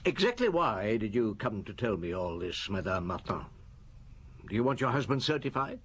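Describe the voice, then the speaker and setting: An actor playing a shrewd, weary middle-aged French chief inspector in a radio drama, measured and probing, with thoughtful pauses. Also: male voice, fairly low pitch, sing-song, a bit bright, slight background noise. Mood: dry, probing. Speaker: detective and narrator. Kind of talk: radio drama acting with reflective narration.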